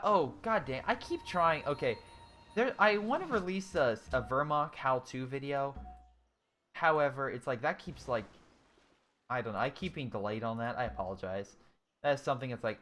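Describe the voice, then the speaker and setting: A young man in his twenties casually commentating a strategy game he is playing, thinking out loud with many ums and restarts while answering viewers' chat. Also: mid-range voice, slight background noise. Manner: thinking aloud, frequent ums and self-corrections. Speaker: gaming YouTuber. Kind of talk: casual let's-play commentary, reading chat.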